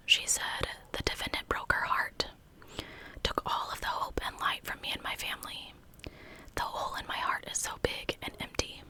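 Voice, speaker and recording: A woman narrating in ASMR style as a true crime host, speaking in a soft, deliberate, breathy whisper.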